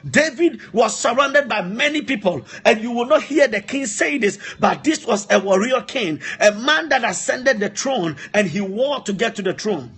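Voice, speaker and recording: A man preaching an impassioned sermon, emphatic and repetitive, driving his points home with rhetorical questions in a husky, rough-edged voice. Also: very high voice, lively intonation, normal speed, few pauses, fairly bright tone. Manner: emphatic, repeats phrases, poses rhetorical questions.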